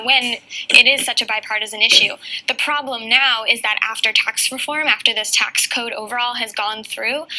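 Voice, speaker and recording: Woman political reporter doing news analysis, kinda high bright voice, explains stuff in long measured sentences. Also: poor audio.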